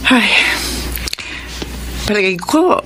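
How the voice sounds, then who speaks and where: A woman's voice: mid-range voice, animated, very slow, a bit bright, faint music behind, rough recording. A middle-aged woman with a Filipino accent playing a kind-hearted, troubled doctor and mother in a radio drama, earnest and concerned. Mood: friendly, earnest. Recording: faint background noise.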